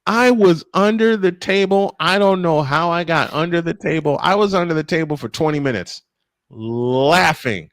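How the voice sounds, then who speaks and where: A man calling live baseball play-by-play and telling stories in an animated, emphatic way, stretching phrases for drama. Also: a little high, normal speed, no echo, clean studio sound. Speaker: play-by-play sportscaster. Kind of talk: live baseball commentary mixed with animated storytelling.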